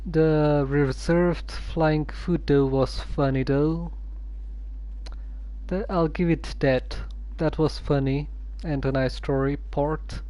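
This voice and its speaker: A male streamer drops dry, laconic asides as he plays. He talks very slowly in a mellow, dark-toned voice pitched a little higher than most men. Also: fairly even intonation, rough recording. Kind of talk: casual commentary over gameplay.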